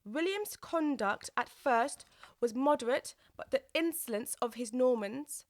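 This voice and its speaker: A woman's voice: sits high, measured pace, dry recording, clean studio sound. A woman playing a prim, easily offended mouse in a full-cast audiobook, with a severe, indignant manner. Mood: grave.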